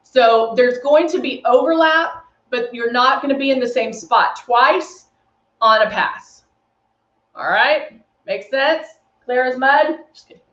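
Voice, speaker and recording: A woman's voice: high voice, unhurried, fairly bright tone. A woman with a Texan accent, presenting a live makeup tutorial and chatting with viewers' comments as she works. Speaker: beauty brand presenter. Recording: echoey room, dull lo-fi stream audio.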